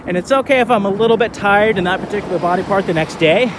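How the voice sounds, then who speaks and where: A man in his thirties talking casually in a vlog, relaxed and rambling with lots of fillers, recorded outdoors while skating. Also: sits high, fast, background noise. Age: 30s. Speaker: vlogger.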